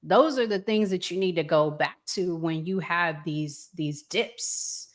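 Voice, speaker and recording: A woman with a slightly low voice talks at a very unhurried pace. She sounds like a podcast host in a reflective conversation, earnest and given to repeating phrases for emphasis. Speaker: relationship podcast host asking questions.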